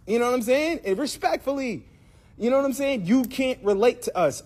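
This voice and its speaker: A man with a husky, fairly high voice delivers blunt reaction commentary. His pitch swings widely as he talks. He keeps the tone casual and direct. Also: darkish tone. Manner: blunt, calls listeners "bro" and "dog".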